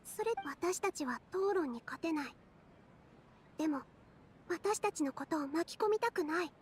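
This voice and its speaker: Very high, quick anime girl. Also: lively intonation, slight background noise. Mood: concerned, explaining. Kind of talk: Japanese anime game voice acting.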